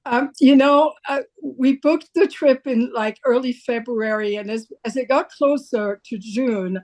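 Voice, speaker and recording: Higher-voiced woman from Belgium. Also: unhurried, a little mellow, low-quality recording. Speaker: book club member. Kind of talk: video call group discussion. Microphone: computer mic on video call.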